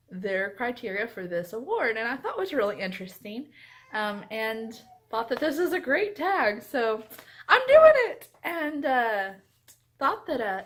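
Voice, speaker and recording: A woman's voice: a little high, a bit bright. A woman chats casually to her viewers as a book vlogger, gushing and leaning on her words. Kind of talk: casual vlog chat to viewers.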